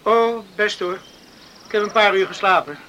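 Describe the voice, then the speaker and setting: Young villager male actor, high-pitched, easygoing and reassuring, on a noisy radio-play recording. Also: moderate pace, lightly acted. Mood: tired, reassuring. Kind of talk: Dutch radio drama acting.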